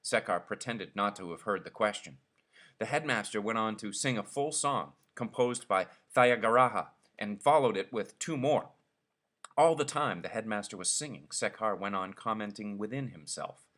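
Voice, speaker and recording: A man's voice: fairly low pitch, expressive, moderate pace, a bit bright. A man narrating a short story expressively, as a reader telling it aloud.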